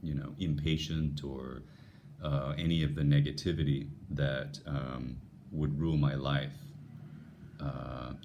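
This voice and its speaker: A man with an unusually deep, radio-like voice, talking earnestly and musing to his viewers about faith, with frequent restarts. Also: little pitch movement, takes it slowly, warm tone, muffled recording, slight background noise. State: voice lowered by a passing illness. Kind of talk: unscripted devotional talk to viewers.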